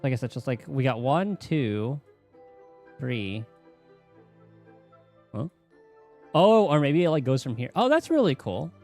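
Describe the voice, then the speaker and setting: A man giving casual live commentary as he streams a game, thinking aloud in a halting way. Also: mid-range voice, big pitch swings, a little mellow, faint music behind.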